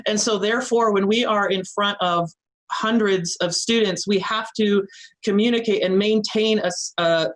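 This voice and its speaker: A woman's voice: medium pitch, fairly bright tone, rough recording. A woman college instructor with a strong, assertive voice, chatting informally and laughing often. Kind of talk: informal talk to students.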